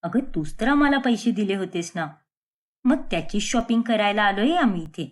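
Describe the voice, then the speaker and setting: A woman's voice: mid-range voice, expressive, moderate pace, pitched below the performer's own voice, close to an everyday voice. Woman playing chatty, simple middle-class mother. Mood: chatty, cheerful.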